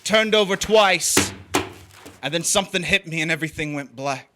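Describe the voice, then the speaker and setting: An actor playing an uneasy, guilt-ridden drifter in a noir stage drama, confessing his crime in a halting way. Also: a little high, very noisy, lightly acted. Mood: grim, recounting. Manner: hesitant, halting in dialogue.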